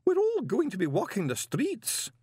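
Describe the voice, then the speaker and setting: Man putting on a high, wailing older woman. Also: huge pitch swings, normal speed, a bit bright, husky, dry recording, clean studio sound, full character voice. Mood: sobbing despair. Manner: wailing, sobbing, melodramatic. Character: wailing, prim grey-haired older woman, tearful and dramatic.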